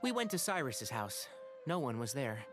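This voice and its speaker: A composed traveler hero voiced by an actor, calm and reassuring, the pitch moving lively through each line. Soft music plays under the game cutscene. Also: normal speed, close to an everyday voice. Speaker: traveler, player character.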